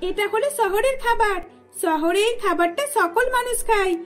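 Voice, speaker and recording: A woman's voice: extremely high pitch, normal speed, faint music behind. A woman voicing a friendly young village food seller in a cartoon, patient and coaxing. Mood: friendly, persuasive.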